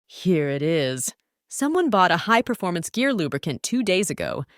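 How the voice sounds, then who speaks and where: A woman's voice: on the low side, very animated, normal speed, dry recording, clean studio sound. A woman voicing a burly, helpful male pickup truck shopkeeper in a children's storybook. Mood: helpful, thoughtful.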